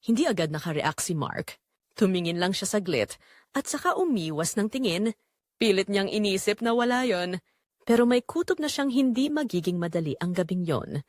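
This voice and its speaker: A woman's voice: medium pitch, lively intonation, normal speed, a little mellow, no room sound, studio quality. A woman narrating a scripted dramatic story.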